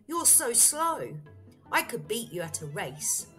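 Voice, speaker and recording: A woman's voice: on the high side, very animated, slow pace, pushed and bright, faint music behind, pitched above the performer's own voice, heavily acted. A woman voicing a rude, boastful male hare in a storybook, with a taunting, exclamatory delivery. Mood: mocking.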